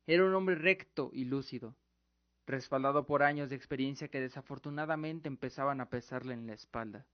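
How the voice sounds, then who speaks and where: A man narrating a scripted fantasy radio drama in a solemn storytelling manner. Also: a little high. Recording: dull lo-fi audio with music behind. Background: music.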